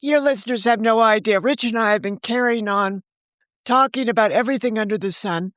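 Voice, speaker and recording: Woman, about seventy, chatty podcast guest, slightly high voice, dry recording. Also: fairly quick, fairly bright tone, no room sound. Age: around 70. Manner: chatty, talks over the host. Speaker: novelist and podcast creator, guest. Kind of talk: casual interview chat.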